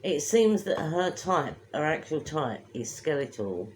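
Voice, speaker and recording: A woman's voice: sits low, big pitch swings, measured pace, a little mellow, slight background noise. A woman co-hosting a casual chat, teasing and drawing out her words for emphasis. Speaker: co-host.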